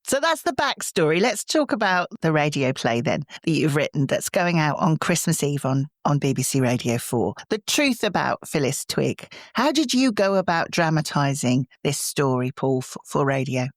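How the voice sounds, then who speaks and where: A woman's voice: low voice, sing-song, normal speed, hardly any pauses, no room sound, studio quality. A woman hosting a podcast, reading introductions and putting questions to guests. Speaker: podcast host and interviewer.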